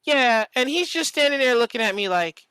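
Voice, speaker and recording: A man's voice: very high voice, animated, a little fast, sharp tone, clean tone, no room sound. A man chatting casually as a podcast host, telling stories in an emphatic, profane way and repeating phrases for effect. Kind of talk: casual chat, animated storytelling.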